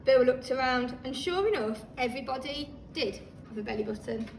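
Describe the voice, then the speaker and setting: High-pitched, animated woman reading a storybook. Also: normal speed, gravelly. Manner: expressive, talking listeners through the pictures. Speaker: teacher reading aloud to children. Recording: roomy, distant microphone, faint noise.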